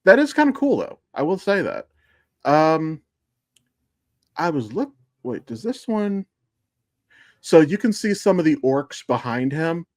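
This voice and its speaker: A man's voice: a little high, sing-song, unhurried, long pauses, a little mellow, no echo, studio quality. A man co-hosting a podcast in casual fan discussion, speaking informally with some swearing. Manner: informal, profane. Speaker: podcast co-host.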